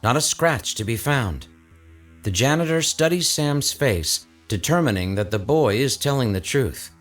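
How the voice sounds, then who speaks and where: A man's voice: medium pitch, expressive, a little slow. A man giving dramatic scripted narration for a horror audio drama. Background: music under the voice.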